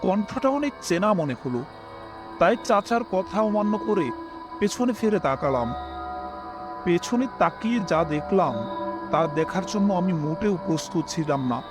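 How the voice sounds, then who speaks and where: Casting: young man, high lively voice, polite first-person narrator for dramatised animated horror. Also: measured pace, music in the background.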